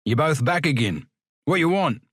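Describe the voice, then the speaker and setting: A man voicing a gruff male workhouse porter who growls and dismisses people. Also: a little high, animated, normal speed, a bit bright, no room sound, studio quality, voice pushed up. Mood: surly.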